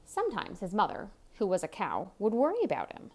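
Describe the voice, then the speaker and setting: A woman's voice: mid-range voice, huge pitch swings, a little fast. A woman reading a children's story aloud expressively, with chatty asides and questions to the young listener. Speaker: picture-book reader.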